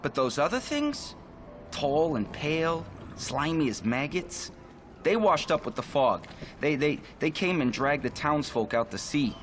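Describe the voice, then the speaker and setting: A man acting as a rattled small-town American in a video game, telling of horrors dramatically and haltingly, with music behind. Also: a little high, big pitch swings, fairly bright tone, a little hiss or hum. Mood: haunted.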